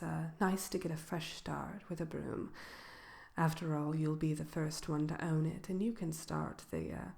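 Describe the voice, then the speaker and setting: Wicked witch shopkeeper, acted by a woman, low pitch, soft ASMR delivery, genteel, slyly malicious, unhurried and hesitant. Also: gravelly. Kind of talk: ASMR roleplay, soft-spoken and partly whispered.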